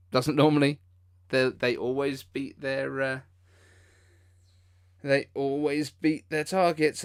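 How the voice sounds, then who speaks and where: A man with a mid-pitched voice hosts a live reaction stream. He talks informally and off the cuff, with an incredulous edge to his commentary. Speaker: livestream host.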